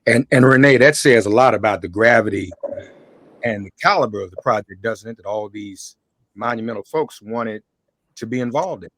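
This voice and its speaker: A man's voice: lowish voice, moderate pace, a bit bright. A man hosting a casual conversational interview, with informal slang and joking asides. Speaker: interview host.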